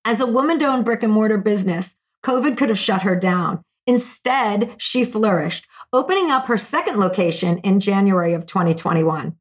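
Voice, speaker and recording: A woman's voice: mid-range voice, normal speed, few pauses. A woman hosting a business podcast, speaking with enthusiasm and lots of "you know" as she introduces and interviews a guest. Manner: upbeat, enthusiastic, uses fillers like "you know". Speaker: podcast host. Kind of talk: scripted intro then casual interview.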